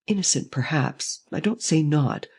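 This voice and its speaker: A reader voicing a cautious older male premier who speaks in a hushed, guarded way. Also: medium pitch, expressive, normal speed, gravelly, slightly rough.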